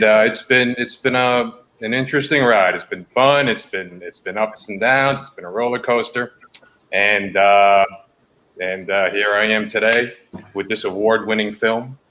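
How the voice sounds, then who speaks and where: An American man in his forties speaks as a guest actor in a relaxed conversation. His voice sits a little low, and he pieces his account together haltingly and reflectively. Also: a little hiss or hum. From New York City, United States. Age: 40s. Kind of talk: casual chat.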